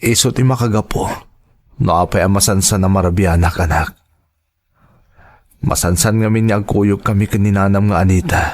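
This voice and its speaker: A man playing a gentle but defensive middle-aged widowed father in an Ilocano audio drama. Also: on the low side, fairly even intonation, moderate pace, pauses often, natural voice. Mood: persuading, defensive.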